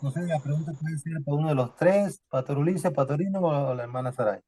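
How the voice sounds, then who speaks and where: A man's voice: fairly high pitch, brisk, mellow. A man hosting a live religious program, addressing guests and reading out questions. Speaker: host.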